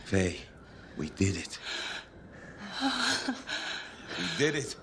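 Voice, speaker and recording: A man's voice: medium pitch, huge pitch swings, extremely slow, sharp tone, slight background noise, heavily acted. A man playing a wry, loyal comrade in naturalistic sci-fi video game acting. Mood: relieved banter. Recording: music behind the voice.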